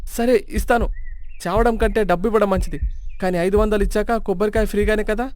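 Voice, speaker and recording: A man's voice: high voice, fairly even intonation, brisk, a little mellow, a little hiss or hum, natural voice. A man voicing a stingy middle-aged village man in a storybook tale, whiny and always counting money. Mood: complaining. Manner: whiny, counts every rupee. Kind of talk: storybook voice acting.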